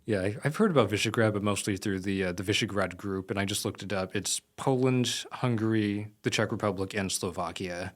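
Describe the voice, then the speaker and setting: Male podcast host, low voice, quick and chatty with lots of fillers. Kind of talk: casual interview.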